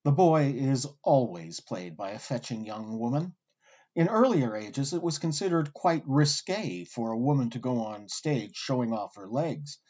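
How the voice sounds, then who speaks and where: A man hosting with scripted documentary narration, delivered in a wry, articulate storyteller's way with deliberate emphasis. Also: medium pitch, animated, a little mellow, dull audio. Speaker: host and narrator.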